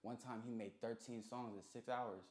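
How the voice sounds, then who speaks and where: A man's voice: medium pitch, fairly even intonation, normal speed, darkish tone. A young man telling stories casually as an interview guest, talking in heavy slang and often checking in with the listener. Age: young adult. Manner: slangy, repeats "you feel me". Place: small indoor recording studio. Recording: roomy, echoey sound.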